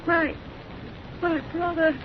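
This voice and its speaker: An actress playing a distraught, overwrought sister in melodramatic old radio horror style. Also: female voice, extremely high pitch, steady tone, slow pace. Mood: alarmed disbelief. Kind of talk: 1930s radio horror acting. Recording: muffled, noisy old radio recording.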